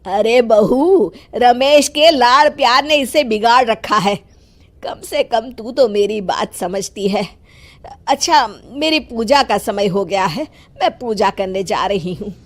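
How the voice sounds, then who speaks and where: A woman voicing a haughty, bossy old grandmother in an animated family drama. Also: fairly high pitch. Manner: bossy, dismissive, drawn-out delivery. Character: scolding, haughty old grandmother who favours her grandson.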